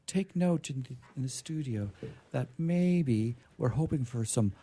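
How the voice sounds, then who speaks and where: A man's voice: medium pitch, expressive, unhurried. A man hosting a live radio theater show in a florid, playful, theatrical manner.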